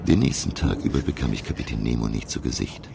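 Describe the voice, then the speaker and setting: An actor playing a learned professor who narrates his sea adventure in a radio play, with a gravelly voice. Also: a bass voice, lively intonation, fast talker, noisy background, exaggerated voice. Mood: measured wonder. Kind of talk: dramatic first-person audio drama narration.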